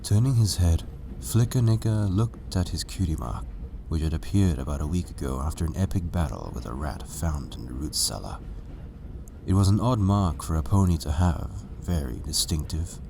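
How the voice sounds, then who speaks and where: A man's voice: low voice, animated, moderate pace, creaky, noisy background. A man narrating a story as a scripted reading. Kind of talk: scripted fan fiction narration.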